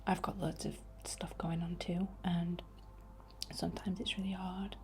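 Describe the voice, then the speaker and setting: A young woman whispering breathily and gently as she guides an ASMR meditation in an informal, unhurried way. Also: fairly low pitch, level tone, a little hiss or hum. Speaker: ASMR host guiding a healing session.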